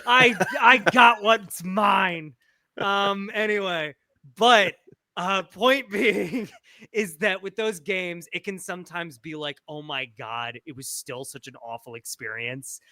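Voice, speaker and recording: High clear guy voice, sports podcast cohost, unhurried casual chat. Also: bright, forceful tone, no echo, studio quality. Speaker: podcast co-host.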